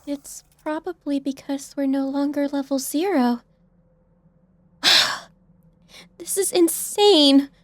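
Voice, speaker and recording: A woman's voice: high voice, takes it slowly, pauses often, no echo. A woman playing a sheltered, easily shocked young woman in an audiobook drama, breathless and emotional. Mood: bewildered.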